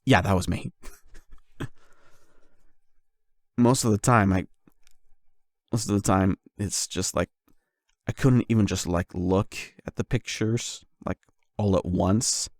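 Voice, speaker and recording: A male performer plays a bashful young man opening up to his online friend in an intimate audio roleplay. His voice sits low with a crackly fry, and he talks hesitantly, circling his point nervously. The sound is dry and close. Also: takes it slowly, long pauses, darkish tone, dry recording. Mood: embarrassed. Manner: hesitant, rambling, nervous chuckles. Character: shy, flustered young man confessing love to online friend. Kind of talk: ASMR boyfriend roleplay, intimate monologue.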